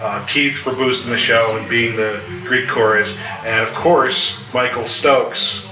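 A man speaking casually on a live outdoor panel as a series creator, often halting and repeating words. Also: medium pitch. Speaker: audio drama writer and series creator. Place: outdoor patio at a convention. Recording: echoey, distant, noisy outdoor recording.

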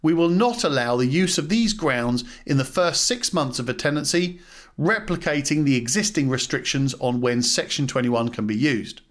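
A man hosting a podcast, reading scripted material aloud in a measured, formal way. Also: mid-range voice, expressive, fairly bright tone. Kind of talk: scripted narration of a formal policy document.

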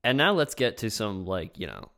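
Young male podcast host, lowish voice, rambling, laughs often. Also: wildly swooping pitch, moderate pace, vocal fry, fairly bright tone. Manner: rambling, enthusiastic, laughs a lot. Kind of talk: casual chat.

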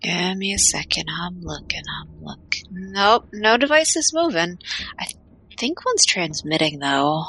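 Woman as a low, bright-voiced cheeky tech scout. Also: expressive, measured pace, breathy edge, exaggerated voice. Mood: focused, wary. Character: casual, cheeky female tech scout of a mercenary team. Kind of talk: audio drama acting.

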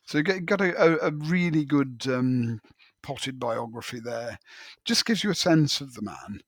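A man hosting a podcast, talking through literature in a conversational way with halting, hesitant phrasing and repeated words. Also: medium pitch, lively intonation, breathy edge, no echo, clean studio sound. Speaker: podcast host.

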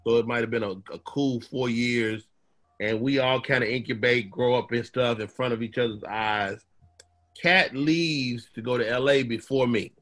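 Bright-voiced man, animated storyteller. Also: medium pitch, normal speed. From Chicago. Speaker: comedian. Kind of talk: casual conversation.

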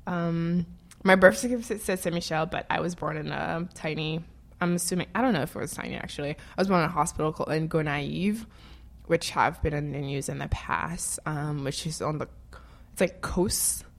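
A woman's voice: deep voice, fairly quick, creaky. A woman from Haiti speaking casually in an interview, rambling with lots of fillers and frequent laughter.

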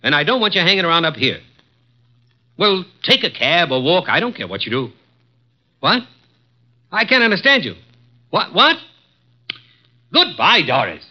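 A cold, petty executive in a 1950s radio play. His words are curt and imperious, with sharp swings in pitch. The sound is dull and lo-fi. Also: sing-song, normal speed, long pauses. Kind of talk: 1950s radio drama acting.

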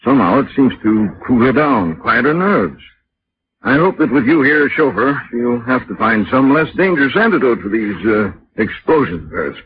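Expressive, formal gentleman employer played by an actor. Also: medium pitch, normal speed, lightly acted. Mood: earnest, benevolent. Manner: hesitant, formal. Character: courteous, righteous wealthy husband and employer. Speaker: radio drama actor. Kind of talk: 1940s radio acting. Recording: muffled, noisy vintage radio broadcast.

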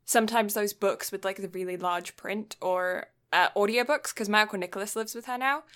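Chatty woman with a bright voice. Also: medium pitch, fairly quick. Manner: chatty and rambling, laughs easily. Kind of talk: casual chat.

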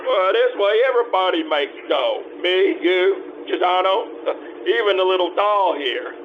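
A man's voice: high-pitched, phone-quality sound, a little hiss or hum. A man playing a pushy, slangy small-time hoodlum in an old-time radio crime drama. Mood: scheming. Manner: streetwise, slangy, halting. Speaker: community radio troupe actor.

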